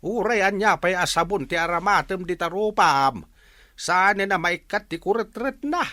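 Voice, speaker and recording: A man playing a folksy, teasing older villager in a radio drama, scolding playfully in a drawn-out way. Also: high voice, lively intonation, bright, forceful tone, no room sound.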